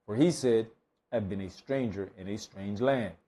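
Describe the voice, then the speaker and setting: A man serving as scripture reader at a Bible study, measured and plain in delivery. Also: lowish voice, mellow. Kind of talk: reading scripture aloud, brief comments.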